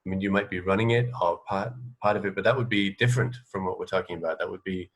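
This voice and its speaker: A man with a fairly low voice hosts an informal radio chat. He phrases things loosely and hesitantly, with a modest, self-effacing manner. The delivery is conversational throughout. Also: fast, low-quality recording. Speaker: radio show host, interviewer. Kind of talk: casual, informal radio interviewing.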